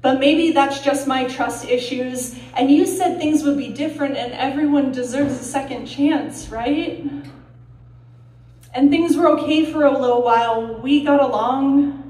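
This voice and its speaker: A woman reciting slam poetry in a deliberate, dramatic, emotionally intense way, with pauses and emphasis. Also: high voice, a little mellow. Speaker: slam poet. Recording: roomy echo, distant mic.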